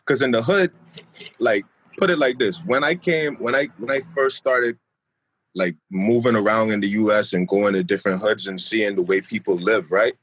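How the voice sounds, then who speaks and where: A man's voice: fairly low pitch, normal speed, a bit bright, a little hiss or hum, rough recording. A young man with a light Bahamian accent mixed with South Florida, talking loosely as a podcast guest and often repeating himself. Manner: laid-back, rambling, repeats himself. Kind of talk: casual podcast chat.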